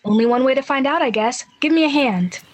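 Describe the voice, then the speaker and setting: A woman playing a high-voiced, earnest young searcher. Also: brisk, noisy background, lightly acted. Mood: excited. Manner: earnest, slightly exasperated. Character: young adult searching for a lost niece. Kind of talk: amateur radio play acting.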